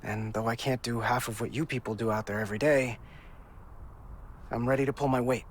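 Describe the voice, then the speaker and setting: A man's voice: mid-range voice, steady tone, fairly quick, slight background noise, natural voice. An actor playing a humbled, earnest repairman in a cinematic video game, speaking plainly and from the heart. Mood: contrite, resolute. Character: humbled, earnest repairman in love, making amends. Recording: music behind the voice.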